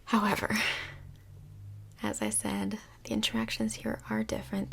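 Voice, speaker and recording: A woman acting as a calm female counsellor from Hell in a soft-spoken ASMR role play. Her voice is breathy and half-whispered, with heavy vocal fry. She picks her words gently and tactfully, pausing as she goes. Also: medium pitch, heavily acted. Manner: gentle, hesitant, diplomatic therapist talk.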